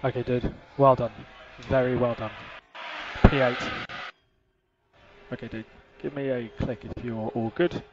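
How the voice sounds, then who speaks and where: A man working as a race engineer, giving calm, clipped, repeated instructions over a team radio. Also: medium pitch, a little slow. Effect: team radio filter. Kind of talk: terse, instructional radio messages. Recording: muffled, noisy motor-racing team radio.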